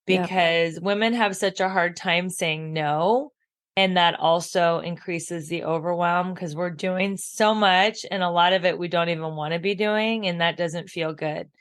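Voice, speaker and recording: Lowish froggy morning voice, middle-aged woman chatting, lots of "like". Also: steady tone, a little slow. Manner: emphatic, uses lots of "like". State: husky morning voice. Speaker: podcast guest, abundance mentor. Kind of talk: casual interview talk.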